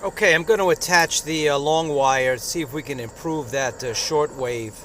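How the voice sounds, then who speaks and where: A man giving a casual hands-on product review outdoors, speaking in a halting, hesitant way. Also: fairly high pitch, fairly bright tone. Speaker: radio reviewer. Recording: outdoor noise, insects and traffic.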